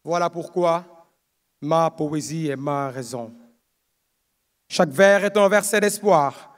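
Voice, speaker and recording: Male slam poet, high voice, measured solemn delivery, lines trailing low. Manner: solemn, measured declamation of aphorisms, dropping low at line ends. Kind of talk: live slam performance. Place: live competition stage.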